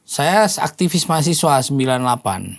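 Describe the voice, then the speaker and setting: A man's voice: fairly high pitch, big pitch swings, moderate pace, gravelly. A man in his forties chatting casually as a guest, telling stories in an interview. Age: 40s. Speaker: podcast guest, political volunteer and former journalist.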